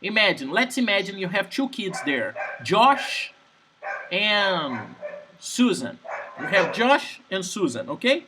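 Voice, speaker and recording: A man's voice: high-pitched, very animated, slow. Guy giving advice in english, restarts a lot, keeps asking if you get it, noisy background. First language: Portuguese. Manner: keeps checking "Understand?", frequent restarts. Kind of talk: casual advice-giving chat.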